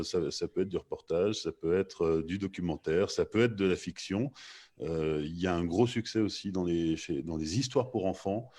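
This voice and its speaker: A man hosting a live panel discussion, introducing and questioning guests in a halting, hesitant way. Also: low-pitched, darkish tone. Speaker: host and moderator of a panel discussion.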